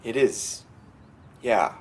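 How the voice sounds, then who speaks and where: An actor playing a reserved security rookie in an audio drama, speaking in a terse, understated way. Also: male voice, extremely slow, a little mellow. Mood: subdued, hesitant. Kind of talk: modern audio drama acting.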